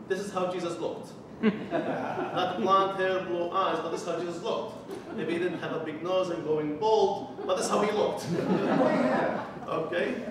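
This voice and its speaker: Palestinian man, 40s, earnest testimony with dry humor, higher voice, picked up far off in an echoey, noisy hall. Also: unhurried. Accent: Palestinian Arabic. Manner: earnest, with wry jokes. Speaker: Lutheran mission developer. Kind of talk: personal testimony talk to a committee. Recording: echoey room from far off, with noise.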